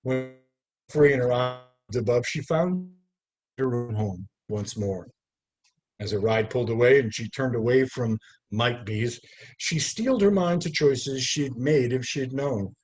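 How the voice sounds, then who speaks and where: A man reading his poetry aloud over a video call, in a deliberate, theatrical way with measured pauses. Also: medium pitch, a little mellow. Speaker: poet reading own work. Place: live online video call. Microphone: video call microphone.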